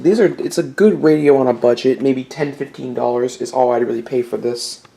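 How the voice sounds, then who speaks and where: Male online video host for a collector's showcase; creaky mid-range voice, casual and explanatory delivery. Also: mid-range voice, warm tone. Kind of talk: informal product showcase with buying tips.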